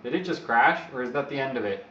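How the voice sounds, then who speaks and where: A man's voice: mid-range voice, lively intonation, fast talker, pushed and bright, distant mic, slight background noise. A man who is not a native English speaker, rambling and joking on a live stream to his viewers. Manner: rambling, joking, talks to himself. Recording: echoey room, away from the mic, dull lo-fi sound.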